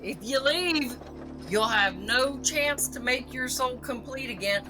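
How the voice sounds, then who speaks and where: A woman playing a bossy, exasperated chief of underworld soul trackers in an audio drama, authoritative and scolding in a deliberate way. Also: sits high, expressive, music in the background. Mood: grim, firm. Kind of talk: scripted audio drama acting.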